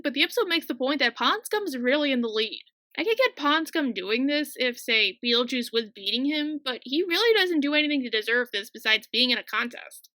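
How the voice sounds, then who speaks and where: A woman's voice: high-pitched, pushed and bright, no room sound. A young woman narrating a casual scripted video review, chatty and full of wry asides.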